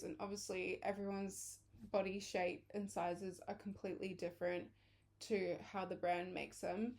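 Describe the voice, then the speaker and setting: A young woman from England talks in a loose, chatty way. She drifts between thoughts and stops to fix her own words. Her voice is a touch hoarse, as if she is losing it. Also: medium pitch, darkish tone. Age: early 20s. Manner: chatty, rambling, corrects herself. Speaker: vlogger. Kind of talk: casual chat to camera.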